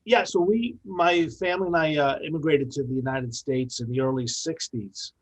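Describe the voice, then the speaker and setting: A middle-aged or older man from New York telling his story as an interview guest, in a measured, articulate, explanatory way. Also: fairly high pitch, poor audio. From born in the Dominican Republic, grew up in New York.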